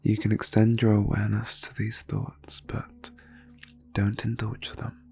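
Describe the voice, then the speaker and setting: A man leading an ASMR guided meditation in a soft, breathy near-whisper, phrasing slowly and soothingly with long pauses. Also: lowish voice, monotone. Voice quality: breathy, hushed.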